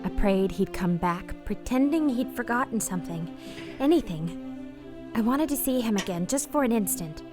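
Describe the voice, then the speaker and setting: A woman's voice: on the high side, expressive, normal speed, over music, natural voice. A woman playing a weary, heartbroken married woman parting from her lover, hesitant and anguished, in a radio drama. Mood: grieving. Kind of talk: radio play acting with confessional narration.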